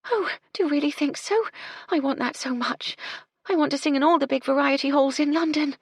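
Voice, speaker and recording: Woman doing Doris, a shy high-voiced housemaid. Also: brisk, vocal fry, dry recording, clean studio sound. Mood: shy delight. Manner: timid, eager, apologetic. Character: shy dreamy young housemaid girl.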